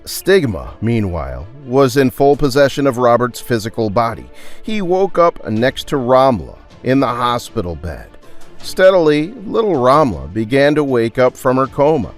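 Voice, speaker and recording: A man working as a storyteller, reading scripted dramatic narration with theatrical, emphatic pauses. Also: medium pitch, sing-song, faint music behind.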